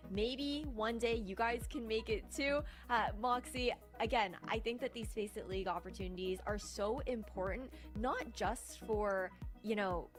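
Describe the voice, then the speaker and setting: A woman casting an esports broadcast, reading segment links and sponsor plugs in an upbeat, polished announcer manner. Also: mid-range voice.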